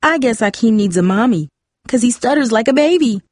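A woman's voice: fairly high pitch, animated, fairly quick, a little mellow, no echo, clean studio sound, pitched above the performer's own voice. A woman voicing a taunting schoolboy in an audiobook, with a mocking, sneering manner.

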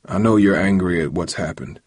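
Man playing tired serious dad, low soft voice, grave gentle advice, dry storybook reading. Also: slightly rough, no echo. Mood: weary, earnest. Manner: slow, grave, gentle advising. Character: weary, serious ailing father of a teenage boy.

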